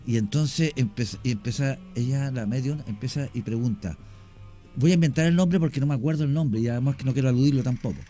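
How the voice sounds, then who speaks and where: Chilean guy telling ghost stories on the radio, kinda halting, warm mellow voice, soft music under it. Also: mid-range voice. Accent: Chilean Spanish. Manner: storytelling, animated with hesitations. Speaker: guest, cemetery tour guide and actor. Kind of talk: casual radio conversation. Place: radio studio.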